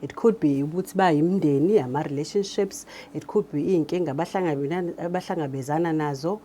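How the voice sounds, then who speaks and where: A woman's voice: low voice, fast, mellow, slight background noise. A woman with a South African accent explaining her work in an interview, code-switching as she goes. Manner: explanatory, switches between Zulu and English. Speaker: radio drama director. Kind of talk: interview talk.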